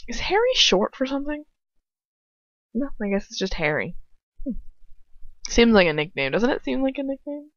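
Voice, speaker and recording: A woman's voice: on the high side, sing-song, moderate pace, long pauses, a bit bright. A woman talking casually in a rambling, opinionated, slangy way, with some swearing, as she comments on a story she is reading aloud. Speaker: amateur fan fiction reader. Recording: roomy, slightly dull home recording.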